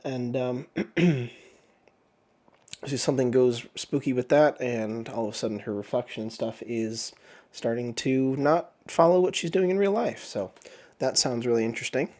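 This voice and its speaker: Male host, under the weather with flu, rambling casual delivery, frequent throat clearing, mid-pitched. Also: moderate pace, a little mellow. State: sick with the flu, voice sounds off. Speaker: book review channel host. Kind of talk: casual chat.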